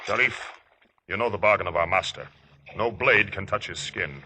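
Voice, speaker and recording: A man's voice: low voice, moderate pace, pushed and bright. A man playing a cruel, gloating military commander in a 1950s radio drama, commanding, menacing and theatrical. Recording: noisy old radio recording with clicks.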